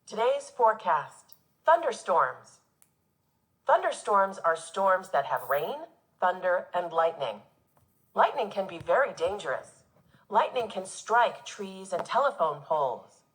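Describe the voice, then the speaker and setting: Woman teacher reading aloud with lively intonation. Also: medium pitch, unhurried, poor audio. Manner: expressive, instructive delivery for young children. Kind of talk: children's nonfiction read-aloud.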